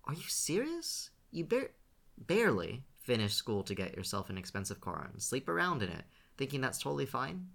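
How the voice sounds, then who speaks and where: A man's voice: a little high, very animated, fast, vocal fry, a bit bright, voice pushed up, full character voice. A man voicing an irritated teenage boy in a read-aloud story, pressing his older brother with questions. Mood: exasperated.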